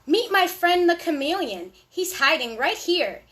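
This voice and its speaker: A woman hosting a storytime for young children, reading aloud in a sing-song, deliberate way with little asides to her listeners. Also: very high-pitched, very animated, fairly bright tone.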